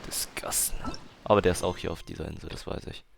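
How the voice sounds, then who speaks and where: A man's voice: deep voice, steady tone, fast, gravelly, noisy background. A man with a German accent, commenting casually on a game and reading dialogue aloud in a slangy manner that mixes in English. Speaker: gamer playing and commenting.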